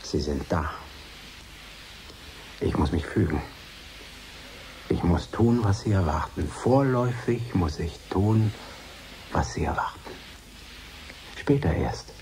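A troubled man lost in his own head in a German radio play. His voice is low and mellow, and he broods in slow, halting fragments over a faint hiss. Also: animated, poor audio. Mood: haunted, withdrawn. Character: troubled withdrawn man, brooding, detached from reality. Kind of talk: radio drama inner monologue.

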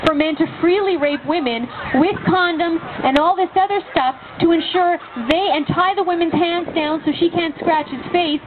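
A woman's voice: very high-pitched, normal speed, few pauses. A woman debating at an outdoor campus open mic, pressing her point with rhetorical questions. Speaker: pro-life speaker at open mic. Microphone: handheld microphone through speaker. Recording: tinny lo-fi with loud background noise.

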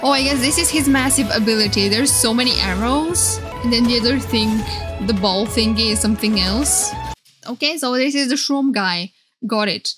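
A woman giving casual, excited reaction commentary as a streamer, playful and gushing. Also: fairly high pitch, normal speed. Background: game trailer music.

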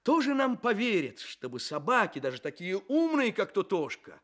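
A man's voice: high voice, huge pitch swings, normal speed, fairly bright tone, exaggerated voice. An actor playing a courteous, mysterious storyteller in a children's radio play, theatrically addressing his listeners. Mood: courteous, playful. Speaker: narrator, storyteller host. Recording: studio radio play with music.

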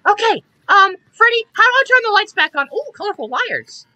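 A performer voicing a panicky, sarcastic young boy with frantic, incredulous yelling in an improvised comedy fandub. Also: very high voice, big pitch swings, a little fast, dry recording, full character voice, low-quality recording. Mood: panicked outrage.

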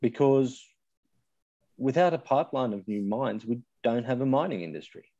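An Australian man co-teaching over a video call, with a dry, laid-back way of cutting in. His tone is dark and mellow. The audio is dull and dry, with no room sound. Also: mid-range voice, expressive, normal speed, no room sound. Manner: dry, jumps in to correct. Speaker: co-instructor, metallurgist. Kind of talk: casual co-teaching banter.

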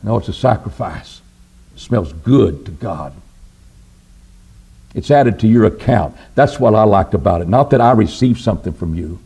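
Lively preacher with a swinging voice. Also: male voice, normal speed, creaky, breathy edge. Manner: lively, rises and drops in pitch for emphasis, asks rhetorical questions. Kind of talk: sermon. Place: church auditorium at a wooden pulpit. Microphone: pulpit microphone on a stand.

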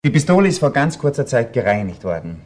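An actor playing a factual, thorough police assistant with an Austrian accent, giving a report in a crime radio play. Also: male voice, medium pitch, big pitch swings, quick pace, a little mellow, close to an everyday voice. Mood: businesslike. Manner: matter-of-fact, thorough. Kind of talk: German-language crime radio play acting.

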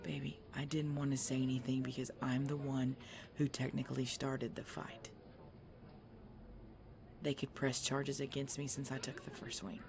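A woman playing a tough, weary, injured biker girlfriend in an ASMR roleplay audio drama, speaking haltingly and protective of her boyfriend. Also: extremely low pitch, level tone, creaky, background noise. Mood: weary, pained.

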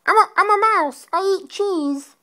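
A young man voicing a small mouse pleading for cheese on a prank phone call, slow and repetitive. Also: extremely high pitch, bright, forceful tone, exaggerated voice. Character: tiny squeaky mouse begging for cheese. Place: living room on a leather sofa, indoors.

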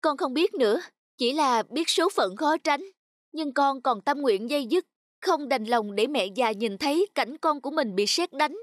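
An actor voicing a devoted, anguished young peasant son in an animated folk tale, earnest and pleading. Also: high-pitched, a little slow, no room sound, clean studio sound. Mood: emotional.

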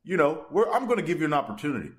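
A man's voice: on the high side, big pitch swings, racing. A young man making prank phone calls in a slangy, repetitive, pushy way, recorded by a camera across a table. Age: young adult. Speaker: prank caller, video host. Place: small room indoors at a table.

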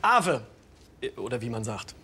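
A man's voice: medium pitch, very animated, unhurried, sharp tone, a little hiss or hum. A man playing a cheerful class teacher with a formal, ceremonial manner in a TV drama. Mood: ceremonial, upbeat. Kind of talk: TV drama acting.

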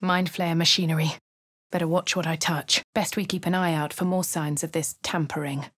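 An actress with a posh English accent voicing a composed female adventurer, reading game narration and voice lines in a crisp, dry manner. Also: sits low, level tone, moderate pace, a bit bright, dry recording. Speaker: voice of the player character.

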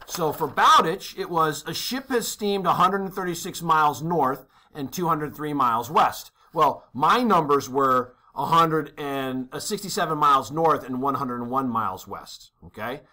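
A man's voice: fairly high pitch, expressive, normal speed, few pauses, pushed and bright. A man teaching a worked problem at a desk, casual and thinking aloud, often checking in with "okay?". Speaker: maritime exam instructor. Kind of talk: tutorial over a worksheet. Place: indoors at a desk.

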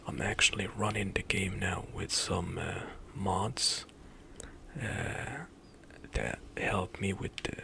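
Young male ASMR gamer, slow gravelly whisper, close-miked. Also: low voice, a little hiss or hum. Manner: soft whispered rambling with light laughs. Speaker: ASMR gaming streamer. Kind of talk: whispered ASMR gameplay commentary. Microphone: close studio mic, headset on.

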